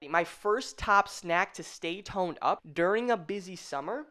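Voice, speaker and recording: A woman's voice: on the low side, expressive, measured pace, fairly bright tone. A woman fitness coach running a live online training, hyped and swearing casually, a bit congested from a cold. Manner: hyped, swears casually, addresses viewers by name. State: congested, a little stuffy. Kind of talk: energetic live-stream coaching talk.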